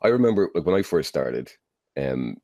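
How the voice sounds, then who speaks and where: A male podcast host with a very deep, low voice, asking relaxed questions over a video call. The sound is dry and close, with no room around it. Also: normal speed, no room sound. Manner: relaxed, friendly questions with fillers. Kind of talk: casual interview chat.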